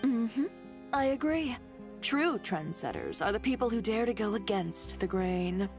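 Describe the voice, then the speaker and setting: A woman's voice: on the high side, expressive, measured pace, over music. An actress voicing a stylish, practical female costume designer with a matter-of-fact manner. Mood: approving. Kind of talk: video game voice acting.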